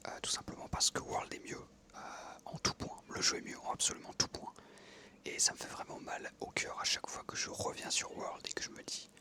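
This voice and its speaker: A man in his twenties whispering soft ASMR. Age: 20s. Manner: soft unvoiced whisper throughout, relaxed rambling with many fillers. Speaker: ASMR creator. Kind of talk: whispered ASMR storytelling over gameplay.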